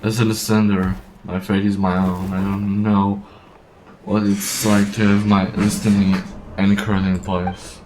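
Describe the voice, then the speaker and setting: A grave, contemplative male traveler acted by a man muses in a deep voice with little inflection. He speaks slowly and haltingly, like a video game protagonist thinking aloud. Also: flat delivery, close to an everyday voice. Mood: pensive. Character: pensive male otherworldly traveler, grave and thoughtful. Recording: faint noise and ambience behind.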